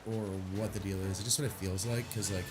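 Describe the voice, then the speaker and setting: A man's voice: fairly low pitch, steady tone, quick pace. A man streaming a game, chatting casually and rambling, stuffy from a sinus headache. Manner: rambling, laid-back, swears casually.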